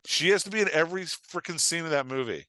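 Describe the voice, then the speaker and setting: A man's voice: on the high side, fast, sharp tone, dry recording. A man talking casually as a podcast guest, explaining with examples in an emphatic, storytelling way and often checking in with his listener. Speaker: podcast guest, writing coach and author.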